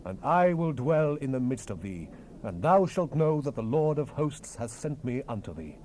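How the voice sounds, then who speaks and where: A man's voice: mid-range voice, big pitch swings, moderate pace, darkish tone, natural voice, poor audio. A man voicing the Lord God as a stern, majestic deity in solemn, emphatic declamation for a dramatized scripture recording. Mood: exultant. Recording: hissy 1970s cassette rip.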